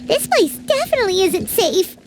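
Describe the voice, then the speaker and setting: Squeaky, animated little fairy companion. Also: female voice, normal speed. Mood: surprised. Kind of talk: video game voice acting. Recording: game music clearly behind the voice.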